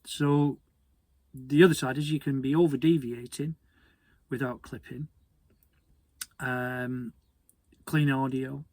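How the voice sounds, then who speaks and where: A British man giving an informal technical explainer in a conversational, hesitant way, often repeating words, with a husky, gravelly voice. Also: mid-range voice, steady tone, slow, pauses often, darkish tone, dry recording. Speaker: hobby radio presenter.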